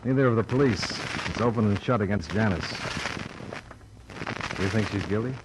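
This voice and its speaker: An American actor playing a skeptical, terse insurance investigator in an old-time radio detective drama, on a noisy vintage recording. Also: male voice, on the low side, normal speed, natural voice. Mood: dry, blunt. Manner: terse, dry, blunt questioning. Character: hard-boiled, skeptical male insurance investigator. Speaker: private insurance investigator, lead character. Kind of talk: 1940s-50s American radio detective acting.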